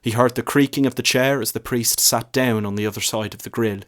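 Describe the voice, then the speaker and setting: Guy reading a short story out, scripted narration, average pitch. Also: steady tone, a little fast, fairly bright tone.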